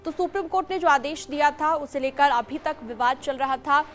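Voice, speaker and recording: A woman's voice: sits high, moderate pace, fairly bright tone. A woman presenting live television news as an anchor, in an emphatic, repetitive manner.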